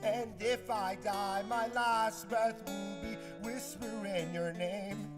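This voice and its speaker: A man's voice: fairly even intonation, slow pace. A young Ecuadorian man singing a pop punk song live in a radio studio, holding his lines long. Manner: sings high with sustained held lines. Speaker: musician performing live. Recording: loud acoustic guitar under the voice.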